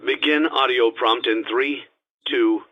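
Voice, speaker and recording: A man voicing a calm, grave instructor on cassette training tapes for a video game, speaking formally in a measured, matter-of-fact and ominous way. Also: medium pitch. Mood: procedural, detached. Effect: cassette tape filter. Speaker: instructional tape narrator. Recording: dull, lo-fi cassette tape sound.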